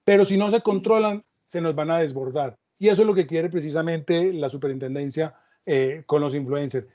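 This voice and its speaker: A man moderates a panel discussion, turning the talk with reflective remarks and short stories. His voice is high for a man yet soft and mellow. The recording sounds dull and lo-fi. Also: normal speed. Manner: reflective, storytelling asides.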